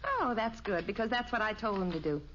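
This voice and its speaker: Brisk lively radio mother. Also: mid-range voice. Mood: practical. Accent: American. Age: middle-aged. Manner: brisk, practical. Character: brisk, no-nonsense middle-aged mother. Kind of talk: 1950s radio sitcom acting. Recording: muffled old radio recording, faint hiss.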